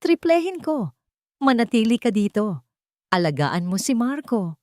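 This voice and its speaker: A woman's voice: a little high, unhurried, no echo, clean studio sound, pitched above the performer's own voice. A woman playing a stern old patriarch. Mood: commanding. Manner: calm, probing, commanding.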